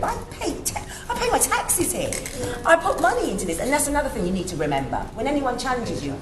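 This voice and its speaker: Panelist: forceful, passionate woman, roomy echo, noisy room. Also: on the high side. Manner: emphatic, passionate, animated. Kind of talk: panel discussion. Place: room at a youth forum event. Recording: echoey room with background noise.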